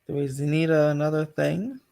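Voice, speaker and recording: A man's voice: a little high, steady tone, measured pace, vocal fry, mellow. A man hosting a casual livestream, chatting off the cuff and addressing viewers. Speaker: channel host.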